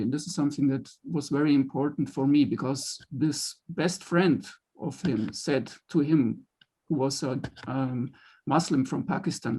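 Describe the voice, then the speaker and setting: A film director speaks in a panel conversation, measured and earnest, pausing on fillers as he builds his point. His voice is husky and sits somewhat high for a man. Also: a little mellow, poor audio.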